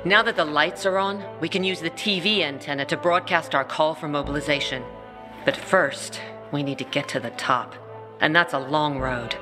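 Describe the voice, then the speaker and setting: An actor playing a tough peacekeeper commander who speaks in a gruff, curt way. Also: mid-range voice, normal speed, over music, lightly acted.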